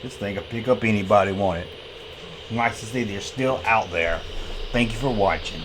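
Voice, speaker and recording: A man's voice: fairly low pitch, normal speed. A folksy man hosting a toy review, chatting casually with playful CB slang and repeating himself. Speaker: toy review video host. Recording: faint background noise.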